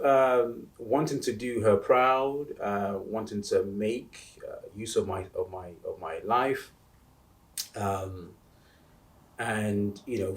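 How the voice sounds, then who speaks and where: A man in his forties from Uganda, answering interview questions in a halting way, with many restarts and fillers. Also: on the low side, gravelly, recorded from a distance. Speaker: interview guest. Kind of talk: casual interview talk.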